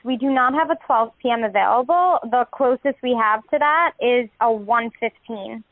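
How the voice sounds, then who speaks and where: A woman's voice: on the high side, moderate pace, dry recording. A woman working as a salon receptionist, handling a booking in a polite customer-service phone conversation. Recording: dry, narrow phone line.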